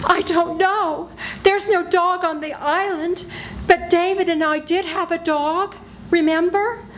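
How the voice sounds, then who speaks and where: A woman's voice: very high-pitched, fairly even intonation, measured pace, slight background noise. A woman playing a frightened widow in a live old-time radio drama, speaking anxiously and on the edge of tears. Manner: anxious, tearful, pleading. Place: senior center stage.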